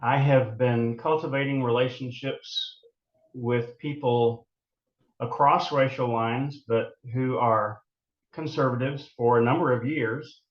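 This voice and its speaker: A man's voice: mid-range voice, steady tone, slow pace. Courteous male host, echoey lo-fi sound. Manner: courteous, complimentary, some stammered restarts. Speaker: interview host. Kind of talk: polite interviewer chat. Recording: echoey room, dull lo-fi sound.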